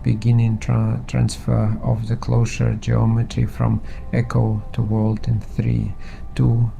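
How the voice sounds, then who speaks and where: A man plays a steady, procedural engineer in an audio drama. His voice is very dark and gravelly, with slow, level delivery and clipped phrasing. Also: medium pitch, slight background noise. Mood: controlled, resolute. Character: male engineer, terse, procedural, steady under pressure.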